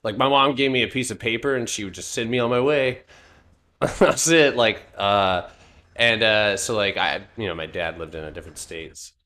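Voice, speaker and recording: A man's voice: fairly low pitch, moderate pace, bright, forceful tone. A man in his late twenties hosting a live stock trading stream, chatting casually and rambling with jokes that trail off into mumbles. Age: 20s.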